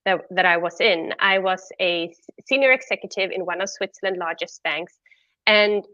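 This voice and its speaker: A Swedish-accented woman with a bright, forceful voice answers interview questions openly and candidly. The sound is dry and slightly muffled, like call audio. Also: lowish voice, moderate pace, dry recording. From Sweden. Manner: open and candid, laughing easily. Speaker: guest, entrepreneur.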